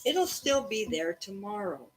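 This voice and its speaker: A woman's voice: mid-range voice, very animated, unhurried, a little hiss or hum. A woman voicing an eager, friendly young boy talking to his dog in a children's storybook, casual and coaxing. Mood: pleading.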